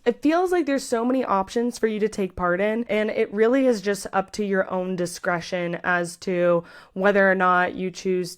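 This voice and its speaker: A woman hosting a podcast, asking long, thoughtful, rambling questions in a casual interview. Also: mid-range voice. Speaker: podcast host.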